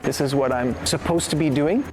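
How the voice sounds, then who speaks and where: A man's voice: fairly high pitch, brisk, soft background music, rough recording. A man who grew up in Tanzania, a pilot, telling a story with enthusiasm in a documentary interview.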